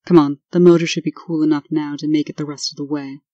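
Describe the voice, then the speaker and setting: Woman playing a stern authoritative leader, low pitch, mellow dark tone, dry mic. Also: little pitch movement, no room sound, highs cut off. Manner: brisk, authoritative. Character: stern no-nonsense administrator woman.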